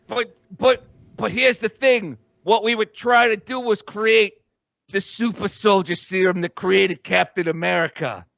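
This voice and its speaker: A man's voice: sits high, fairly even intonation, a little slow, gravelly, a bit bright, dry recording, dull audio, pitched below the performer's own voice, heavily acted. A man playing a defeated villain doctor in a tabletop game, rambling and wheedling as he bargains for mercy. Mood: scheming, explaining.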